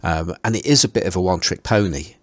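A man's voice: low voice, fast talker, dry recording. A man hosting a casual chat, talking hesitantly and often repeating words while he thinks. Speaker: podcast host.

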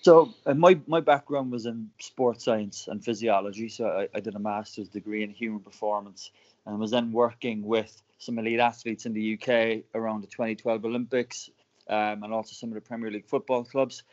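A man from Cork with an Irish accent, telling his founder story as a podcast guest in a relaxed, chatty way. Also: on the low side, a little fast, muffled recording. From Ireland. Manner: chatty, laughs often, many restarts. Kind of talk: casual podcast interview storytelling.

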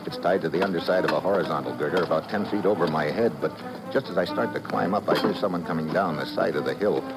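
A man's voice: sits low, quick pace, loud music behind the voice, low-quality recording. A man with an American accent playing a tough, clipped special agent in a 1950s radio thriller, on an old recording. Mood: tense, terse. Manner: clipped, hard-boiled. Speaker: lead actor and first-person narrator.

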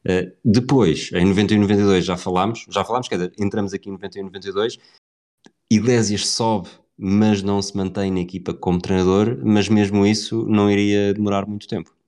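Low-voiced Portuguese man recounting football history. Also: steady tone, a little fast, a little mellow. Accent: European Portuguese. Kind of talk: conversational football history storytelling.

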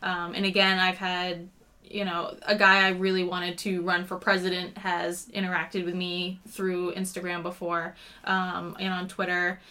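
A woman's voice: lowish voice, monotone, measured pace, bright, forceful tone. A woman who is a guest on a podcast, talking in a friendly, chatty way. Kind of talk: casual chat.

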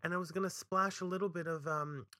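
A man co-hosts a casual podcast from his home studio, telling everyday stories with theatrical, mock-outraged flair. His voice is bright and punchy, and the recording is dry, with no room echo. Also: a little high, fast talker. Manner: animated, dramatic storytelling with mock outrage. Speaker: podcast co-host. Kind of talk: casual chat.

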